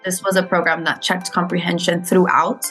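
A woman's voice: lowish voice, little pitch movement, normal speed, music in the background. A Mexican-born woman teacher earnestly explaining a reading program in an interview. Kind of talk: interview conversation.

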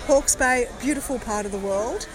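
A woman's voice: fairly high pitch, moderate pace, over music, low-quality recording. An upbeat woman hosting a podcast, reporting and interviewing on location at an outdoor event. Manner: upbeat, chatty. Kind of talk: roving field report and interview. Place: outdoors at a crowded park event. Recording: crowd chatter behind.

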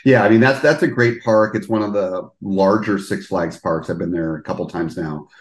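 A man's voice: low-pitched, moderate pace, echoey room. A man hosting a podcast interview, chatting casually and rambling with many restarts. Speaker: podcast host.

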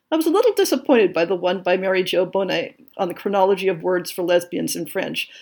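A woman hosting a podcast, reading a scripted monthly update in a measured, enthusiastic way. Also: mid-range voice, darkish tone. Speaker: podcast host.

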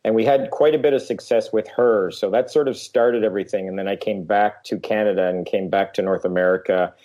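A middle-aged man who is getting over a cold talks as a guest on an interview show. His voice sits low and sounds dark and mellow. He speaks in a relaxed, steady way and often pauses on "uh". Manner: relaxed, steady, with frequent uh fillers. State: recovering from a cold. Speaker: guest performance coach. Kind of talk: casual interview talk.

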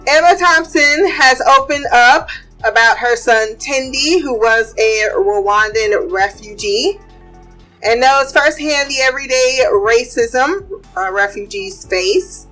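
A high-pitched woman hosts a casual chat show, talking in a bright, forceful tone. She is chatty and blunt, swears freely and moves quickly from gossip to trivia. Faint music runs underneath. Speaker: podcast host. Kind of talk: casual pop culture chat and fun facts.

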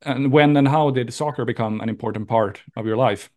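A man's voice: mid-range voice, a little fast, a little mellow. A man asking questions as a podcast host in a casual interview style. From Sweden.

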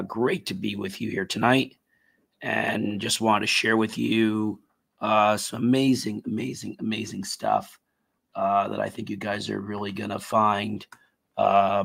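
Raspy-voiced man hosting a tech show. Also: lowish voice, fairly bright tone. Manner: rambling, many fillers and restarts. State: slightly raspy, tired voice. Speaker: host of a tech talk show. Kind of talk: casual live show hosting.

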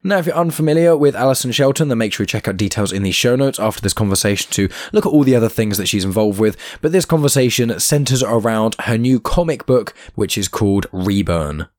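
A man in his twenties hosting a podcast interview, chatty and quick to laugh, his voice a little croaky. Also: on the low side, animated, darkish tone, no echo, studio quality. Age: 20s. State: slightly croaky, losing his voice. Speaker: podcast host. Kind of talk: casual interview chat.

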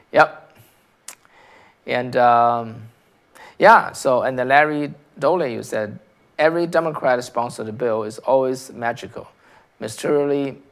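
A man from China with a Chinese accent, hosting a political commentary stream and reading out viewer comments, talking in halting bursts with restarts and rhetorical questions. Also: mid-range voice, gravelly, a bit bright.

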